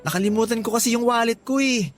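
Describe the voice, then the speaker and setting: An actor playing a friendly, polite young laborer in a radio drama, a little shy and apologetic. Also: male voice, very high-pitched, fast, soft background music.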